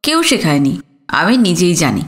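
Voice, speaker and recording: A woman's voice: lowish voice, very animated, moderate pace, voice pushed down. A woman voicing a clever little boy with grave, knowing airs in an audio story. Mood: smug, grave.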